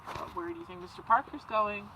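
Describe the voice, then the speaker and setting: A woman reading a children's story and chatting, expressive, with simple child-directed phrasing. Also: medium pitch, a little fast, noisy background. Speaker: story-time reader for children.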